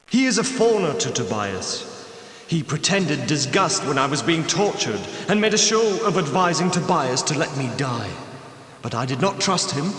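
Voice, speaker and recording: A man's voice: high-pitched, a bit bright, heavily acted. A male actor playing the sorrowful, bitter ghost of a betrayed medieval knight in a video game, speaking in formal, archaic phrasing. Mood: bitter, regretful. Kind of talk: scripted video game character dialogue. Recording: echoing voice with long cavernous reverb.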